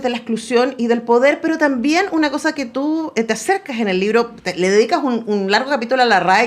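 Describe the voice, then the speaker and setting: A woman hosting a conversational interview, thinking aloud and checking in with small tag questions. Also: fairly high pitch. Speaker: radio host interviewing an author. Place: radio studio.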